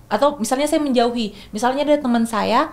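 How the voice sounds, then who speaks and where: Young woman, slightly high voice, careful explainer who self-corrects often. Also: brisk, a little mellow. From Makassar, Indonesia. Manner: thoughtful, many restarts and fillers. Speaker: guest speaker. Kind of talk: explanatory podcast talk.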